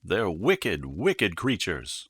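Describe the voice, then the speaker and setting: A man voicing a small, firm, earnest mouse dentist in a storybook, speaking with crisp authority. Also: sits low, wildly swooping pitch, very slow, fairly bright tone, voice pushed up, full character voice. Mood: grumbling, suspicious.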